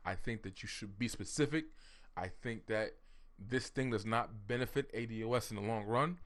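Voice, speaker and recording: A man's voice: on the low side, fairly bright tone. Blunt man giving his opinion. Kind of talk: commentary.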